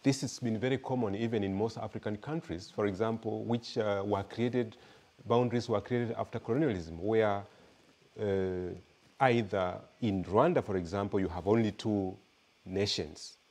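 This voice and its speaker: A Kenyan man speaks as a guest lecturer, measured and unhurried, often repeating a word while he finds the next. His voice sits low and sounds mellow and relaxed. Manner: measured, repeats words while searching for them.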